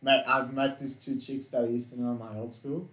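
A man's voice: medium pitch, little pitch movement, a little fast, a bit bright, far from the mic. An eighteen-year-old man in casual, laid-back banter, swearing freely as he reads profiles aloud. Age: young adult. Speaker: co-host reading dating profiles. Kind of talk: casual chat. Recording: echoey room.

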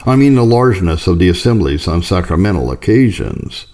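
A man with a very low, mellow voice reads a historical religious letter aloud. His delivery is formal and measured, with a solemn tone and lively rises and falls in pitch. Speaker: audiobook reader.

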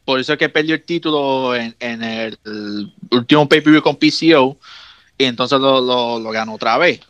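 Bright, smooth-voiced man. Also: medium pitch, moderate pace, no echo. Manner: friendly, chiming in, says compay. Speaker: wrestling podcast co-host. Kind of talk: casual chat.

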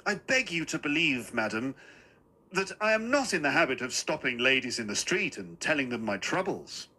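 A man voicing a gentle, forgetful little old gentleman in a story, pleading in a courteous, old-fashioned and flustered manner. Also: fairly high pitch, very animated, moderate pace, slightly rough, exaggerated voice. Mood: courteous, sheepish.

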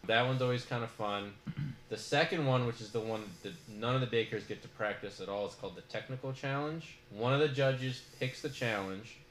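Man, podcast host, casual rambling review, lots of fillers, mid-pitched voice, roomy echo. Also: a little fast, fairly bright tone, a little hiss or hum.

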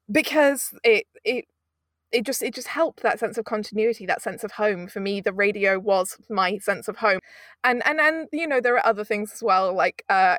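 A woman's voice: a little high, fairly bright tone, no echo, studio quality. A woman in her twenties from the UK, chatting casually as an interview guest, rambling with frequent laughs. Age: 20s. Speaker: audio producer, guest.

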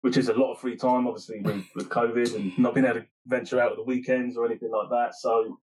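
A man's voice: medium pitch, level tone, fast talker, a little mellow, poor audio. British man chatting loosely as interview guest. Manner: loose, rambling, self-correcting explanations. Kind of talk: casual interview chat.

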